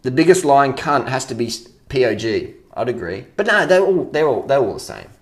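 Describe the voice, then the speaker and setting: A man speaks off the cuff at a middling pitch, like an online commentator answering his viewers. He is blunt and opinionated, swears freely, and hammers points home by repeating them. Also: big pitch swings, a little fast. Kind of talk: casual unscripted rant.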